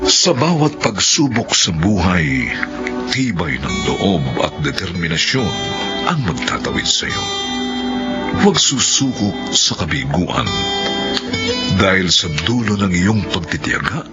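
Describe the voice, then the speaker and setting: A man's voice: low voice, huge pitch swings, measured pace, dull audio, over loud music. A man narrating and announcing a radio drama in a solemn, theatrical, scripted style.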